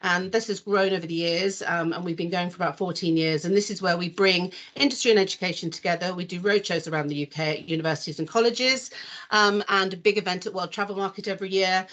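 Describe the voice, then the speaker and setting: Woman panel guest on video call, lowish voice, chatty, relaxed, slight filler words. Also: quick pace. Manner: warm, chatty, with frequent ums and light laughs.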